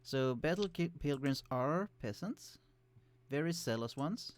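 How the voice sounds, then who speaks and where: A man casually commenting on a strategy game he is playing, explaining units with many fillers and restarts, his voice kept quiet and turned down in the recording. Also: on the high side, animated, measured pace. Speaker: let's play host. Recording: quiet voice, turned down to hide computer hum.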